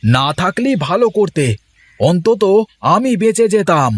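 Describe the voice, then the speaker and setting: A man's voice: sits high, very animated, unhurried, no echo, full character voice. A man playing a sighing, weary fisherman. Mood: bitter. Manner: sighing, resigned. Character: weary put-upon fisherman husband. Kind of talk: Bengali cartoon voice acting.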